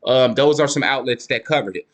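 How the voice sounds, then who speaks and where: A man's voice: mid-range voice, brisk. A young man giving casual commentary, reading court filings aloud to viewers and calling them y'all. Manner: slangy, addresses viewers as y'all, reads documents aloud with stumbles. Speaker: YouTube case-file commentator.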